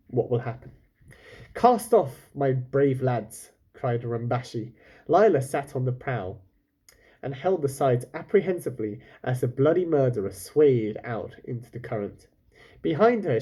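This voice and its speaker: A man's voice: medium pitch, mellow, breathy edge. A man reading a children's story aloud like a teacher, breaking off for casual questions and comments to his listeners.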